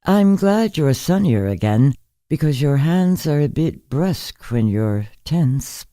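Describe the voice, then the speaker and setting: An ancient bedridden woman read by a male narrator in a literary audiobook. She speaks slowly and candidly, musing with gentle wry superiority, in a mellow, soft tone. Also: a little high, very animated, no echo, clean studio sound, voice pushed up. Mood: gently advising. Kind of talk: literary story character reading.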